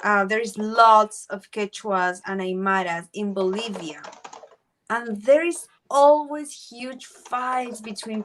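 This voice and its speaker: A woman from Peru, speaking English as a guest in a casual podcast conversation and pausing often with fillers. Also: fairly high pitch, slight background noise. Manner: hesitant, many fillers.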